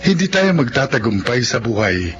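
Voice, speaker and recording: Filipino male announcer for radio drama, formal and measured, lively intonation, clear music bed. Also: mid-range voice. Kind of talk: scripted narration. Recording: dull lo-fi audio with clear music underneath.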